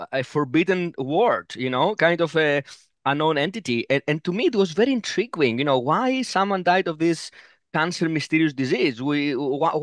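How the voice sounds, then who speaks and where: A middle-aged man from Greece, answering interview questions thoughtfully with many pauses and fillers over a headset on a video call. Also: fairly high pitch, fairly bright tone, dry recording, clean studio sound. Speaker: oncologist guest. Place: home room, video call.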